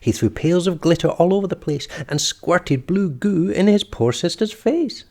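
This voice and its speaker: Very animated, gravelly male storyteller. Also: high voice, normal speed. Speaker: storyteller and host. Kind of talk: expressive children's story read-aloud.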